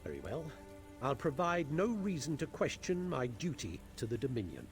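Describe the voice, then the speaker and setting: An actor playing a stern, proud high elf prince in a fantasy video game, formal and haughty with bitter disdain. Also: male voice, huge pitch swings, normal speed. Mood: bitter, resentful. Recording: game music behind the voice. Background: game music.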